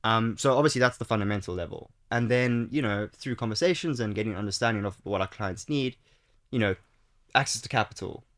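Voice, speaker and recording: A man's voice: fairly low pitch, fairly quick, a bit bright. A young man with a South African accent, a startup founder talking casually as a podcast guest, chatty with many fillers and restarts. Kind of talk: casual interview chat.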